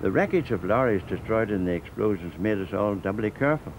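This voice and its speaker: An older man from Northern Ireland narrates in a measured, steady way, his voice pitched a little low. There's a faint hum of ambience underneath him. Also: low-quality recording. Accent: Northern Irish. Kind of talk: scripted documentary narration.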